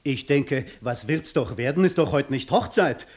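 An actor playing a hot-headed young village lad who tells his story excitably and earnestly in a 1960s German radio play. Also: medium pitch, moderate pace, lightly acted. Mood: earnest recounting. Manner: excitable, earnest storytelling in rustic, old-fashioned phrasing.

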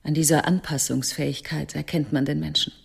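A woman's voice: deep voice, little pitch movement, fairly quick, vocal fry, breathy edge, heavily acted. An actress playing a composed chairwoman of an animal protection society in a radio play, courteous in a controlled, cool way. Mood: coolly dismissive. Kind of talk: radio play acting in dialogue.

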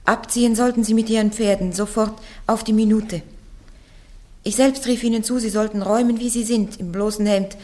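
A woman's voice: mid-range voice, fairly even intonation, brisk, warm tone, lightly acted. Woman doing a young soldier girl on trial, stubborn, blunt answers, old radio play. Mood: defiant. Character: young peasant girl soldier, defiant and proud. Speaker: accused on trial. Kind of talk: classic radio play acting.

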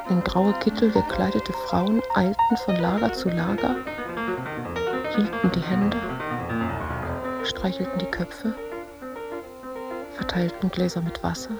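An actress playing a dazed, haunted woman recounting nightmarish wartime visions in a slow, detached, dreamlike monologue. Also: mid-range voice, vocal fry. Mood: haunted, hushed. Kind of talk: literary audio drama monologue.

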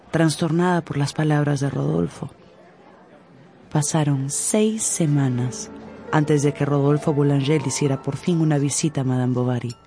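A narrator telling a literary story in a measured, dramatic radio style. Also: medium pitch, mellow. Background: music.

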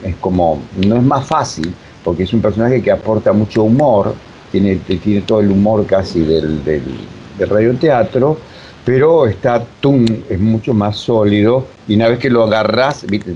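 A man with a Rioplatense Argentine accent talks casually as an interviewed director, rambling emphatically and searching for words. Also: warm tone. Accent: Rioplatense Argentine Spanish. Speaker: radio drama director being interviewed. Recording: muffled, low-fi with faint noise.

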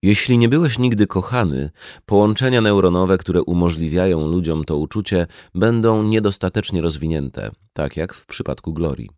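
A man reading a nonfiction audiobook in a measured, articulate way. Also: low voice, darkish tone, no echo, studio quality. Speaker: audiobook narrator. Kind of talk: scripted nonfiction audiobook narration.

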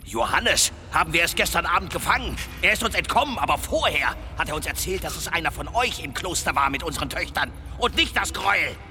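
A blunt, gruff village smith and father in a fantasy radio play. The voice is animated and a little high, with music behind it. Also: a little fast, hardly any pauses, exaggerated voice. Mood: vengeful. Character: vengeful village blacksmith, gruff grieving father. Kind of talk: German fantasy radio drama acting.

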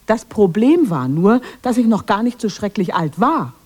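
A woman's voice: mid-range voice, very animated, moderate pace, a little mellow, husky. An actress playing a lonely, misunderstood witch of the woods in a radio drama, defending herself in a plaintive way. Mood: wistful, self-justifying. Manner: plaintive, self-justifying.

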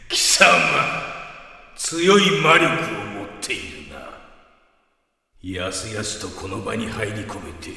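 A male actor playing a sinister, arrogant dark sorcerer in an audio drama, gloating in a gravelly, commanding voice. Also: on the low side, huge pitch swings, exaggerated voice. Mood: gloating menace. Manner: slow, gloating, archaic and commanding. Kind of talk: Japanese anime audio drama acting. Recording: cavernous echo with long reverb, music behind.

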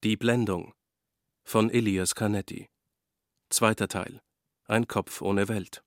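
Male announcer for drama credits, lowish voice, unhurried, formal and factual. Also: fairly even intonation, no echo. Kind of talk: scripted radio-play credits.

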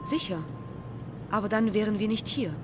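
An actress in a radio play as an earnest, insistent young woman with deliberate, precise phrasing. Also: mid-range voice, lightly acted. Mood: dry, matter-of-fact. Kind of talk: German radio play dialogue and recitation. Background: music.

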